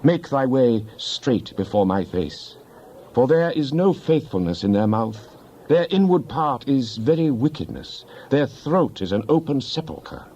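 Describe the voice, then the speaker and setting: A man's voice: medium pitch, big pitch swings, unhurried, warm tone. A man playing a fervent psalmist in a dramatized scripture reading, pleading with God in solemn, impassioned, declamatory tones and archaic diction. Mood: devout, pleading, then stern.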